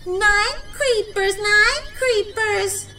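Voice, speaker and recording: A woman's voice: extremely high pitch, extremely slow, pushed and bright, soft background music, pitched above the performer's own voice, full character voice. A woman voicing a taunting child who chants an eerie, sing-song nursery rhyme in a horror audiobook.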